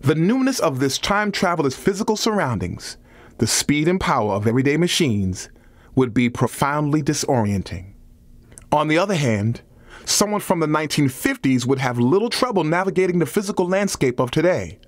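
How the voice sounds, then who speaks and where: A man's voice: fairly high pitch, lively intonation, normal speed, no room sound. A man reading a nonfiction audiobook as a scripted narration.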